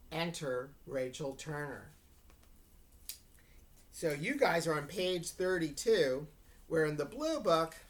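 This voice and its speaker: A woman teacher reading a novel aloud to a class, explaining as she goes and checking understanding with questions. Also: low voice. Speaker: English teacher. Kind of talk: dramatic classroom read-aloud with questions to students.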